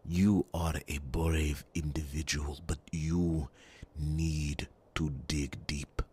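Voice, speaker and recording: A man playing a grave, resolute warrior in an ASMR fantasy roleplay, speaking solemnly and deliberately, with dramatic pauses and a gravelly, breathy rumble. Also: flat delivery, mellow, full character voice. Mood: imploring, intense.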